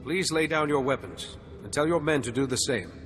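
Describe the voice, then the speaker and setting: An actor playing a calm, principled, earnest warrior monk in a video game, speaking in a measured, formal way. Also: male voice, medium pitch, long reverb tail, soft background music, close to an everyday voice. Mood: firm. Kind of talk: video game cutscene acting.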